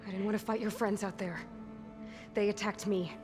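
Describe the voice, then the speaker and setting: A woman acting as a determined young explorer heroine in a video game. She is direct and wry, with a naturalistic, plainspoken delivery. Loud music plays behind her. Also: medium pitch, flat delivery, moderate pace, close to an everyday voice. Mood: wry, frustrated. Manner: plainspoken, direct, mutters to herself.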